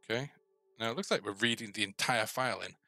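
A man's voice: fairly low pitch, fast talker, creaky, sharp tone, dry recording, soft background music. A middle-aged man giving a casual coding tutorial, musing and asking himself questions as he works. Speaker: game developer presenting a coding series. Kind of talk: thinking aloud while coding, casual tutorial.

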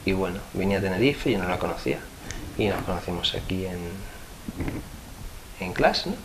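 A man's voice: low-pitched, expressive, moderate pace. A man from Madrid with a Castilian Spanish accent, chatting casually and playfully as a dance teacher being interviewed. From Madrid, Spain. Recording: clear background noise.

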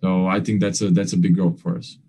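Halting young man with a low voice. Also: level tone, mellow, breathy edge. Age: young adult. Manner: halting, leans on fillers and restarts; earnest, non-standard grammar. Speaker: basketball player. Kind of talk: postgame press conference answers. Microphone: video call.

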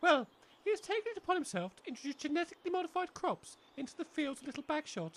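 A woman's voice: high voice, sing-song, quick pace. A woman playing an earnest, polite and wordy new-age village schoolmistress with an English accent in a comic audio drama.

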